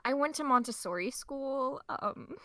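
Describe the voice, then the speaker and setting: A woman's voice: high voice, animated, a little slow, sharp tone, dry recording. A young woman voice actor chatting casually on a panel. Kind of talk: casual chat.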